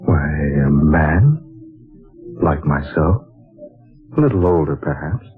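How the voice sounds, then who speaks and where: A man's voice: rumbling low, slow pace, soft background music, heavily acted. An actor playing an earnest, searching younger man who doubts and pleads, in a 1940s radio drama. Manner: earnest, pleading, expressive delivery. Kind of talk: 1940s radio acting and first-person narration. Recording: narrow tinny old radio broadcast.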